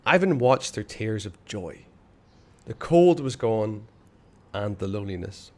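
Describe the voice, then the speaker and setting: A man's voice: lowish voice, a little slow. Man reading a story aloud expressively. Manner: expressive, hushed on dark passages. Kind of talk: reading a children's novel aloud.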